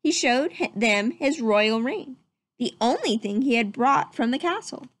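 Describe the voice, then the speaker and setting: A woman reading a children's story aloud as narrator, with expressive, dramatic storytelling. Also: fairly high pitch, a little slow, dry recording. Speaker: storybook reader.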